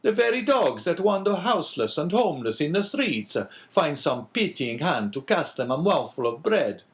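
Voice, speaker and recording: A man reading an audiobook voices a calm, earnest priest in a solemn, measured way. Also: fairly high pitch, voice pushed up. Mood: indignant. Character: calm, earnest middle-aged male priest.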